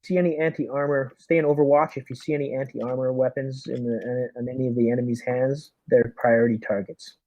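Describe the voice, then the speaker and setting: Brief: male tabletop player, mellow mid-pitched voice, deliberate military briefing delivery, recorded dry. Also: level tone, dry recording, rough recording. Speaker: tabletop RPG player leading the squad as lieutenant. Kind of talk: tabletop roleplaying game session, giving orders in character.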